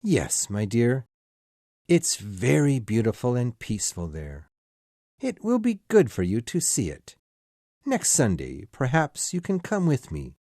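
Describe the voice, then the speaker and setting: Storybook father, read by a woman: kind and sorrowful, slow, mellow, emotional, holding up a cheerful front. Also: extremely low pitch, huge pitch swings, no echo, studio quality, pitched above the performer's own voice, heavily acted. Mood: gentle sadness.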